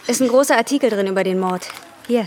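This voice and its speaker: An actress as chatty young waitress, highish voice. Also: female voice, fast, a little hiss or hum, close to an everyday voice. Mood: chatty, curious. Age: young adult. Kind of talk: German radio drama, natural dialogue.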